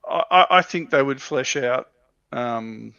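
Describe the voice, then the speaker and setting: A man's voice: medium pitch, a little slow, creaky, sharp tone, dry recording, dull audio. A man co-hosting a casual livestream chat, thinking aloud in a hesitant way. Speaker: livestream co-host. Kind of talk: casual panel chat about tabletop wargaming.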